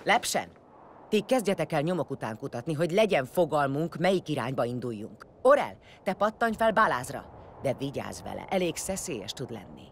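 A woman's voice: low voice, sing-song, a little hiss or hum. A woman playing a blunt, commanding warrior leader in an audio drama, vengeful and foul-mouthed. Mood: curt, commanding.

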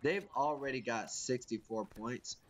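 A man's voice: medium pitch, a little slow. A young man with a congested, sniffly voice from a cold, giving casual, profane gameplay commentary and ranting about his teammates. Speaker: gaming YouTuber.

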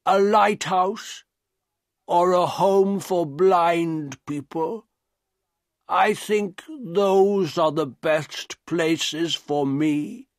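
A man voicing a gentle, sad, humble deformed man in an audiobook, with very slow, halting, laboured speech. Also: high-pitched, no echo, clean studio sound, voice pushed up, full character voice. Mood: grateful, pleading. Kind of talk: audiobook character dialogue.

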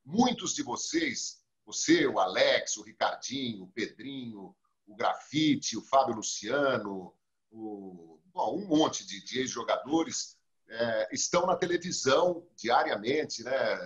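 A man's voice: mid-range voice, slow pace, dull audio. A man with a Brazilian Portuguese accent hosting a talk show over a video call, telling stories and acting out quotes from other people. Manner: storytelling, lively quoting of others. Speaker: host. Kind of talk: casual talk show chat. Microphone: video call webcam.

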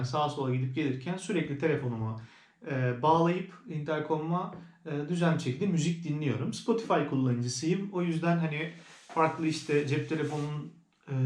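A man's voice: a little high, a little mellow. A man giving a casual, conversational product review as a vlogger, explaining things as he goes. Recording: roomy indoor echo.

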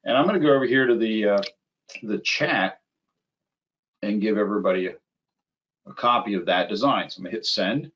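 A middle-aged man giving a conversational, step-by-step software demo as a webinar presenter. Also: on the low side. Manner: conversational, unhurried step-by-step explaining. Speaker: webinar presenter, applications engineer. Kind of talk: live software demo, explanatory. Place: small indoor room at a computer. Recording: slightly dull, band-limited webinar audio.

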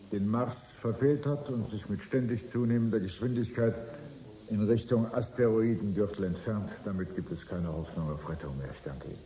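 An actor playing a weary, cynical corporate negotiator in a German radio drama, dry and dignified. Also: male voice, fairly low pitch, noisy background, natural voice. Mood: solemn. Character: weary, cynical male corporate negotiator, solemn when official.

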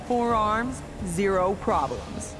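An actress playing a tough, wry military officer in a video game, speaking with confidence. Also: female voice, mid-range voice, lively intonation, takes it slowly, noisy background. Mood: wry, defiant. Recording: game audio with music behind.

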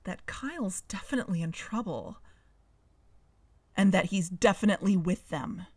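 A woman's voice: mid-range voice, moderate pace, darkish tone, lightly acted. A woman playing an earnest, suspicious amateur investigator hosting a podcast in a scripted audio drama. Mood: earnest, deliberate. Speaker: podcast host.

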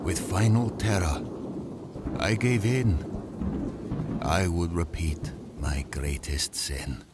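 A man playing an obsessed, remorseful mad scientist father in an audio drama, declaiming grandly and swinging from hushed to fervent. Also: low voice, slow, heavy background noise. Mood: haunted remorse. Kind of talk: theatrical audio drama monologue.

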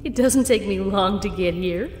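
A woman voicing a sinister shapeshifting creature posing as a sweet schoolteacher mare, measured and sweetly menacing, in an audio fan-fiction drama. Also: mid-range voice, a little mellow, slight background noise, natural voice. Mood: sweetly eerie. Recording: echoey hall reverb, music behind. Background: background music.

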